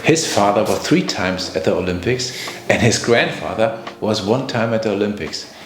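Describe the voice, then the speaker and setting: A man's voice: lowish voice, expressive, normal speed. A middle-aged German man hosting a podcast, asking rambling questions with many restarts in non-native English. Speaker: podcast host. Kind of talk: conversational interview. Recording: echoey room, faint music.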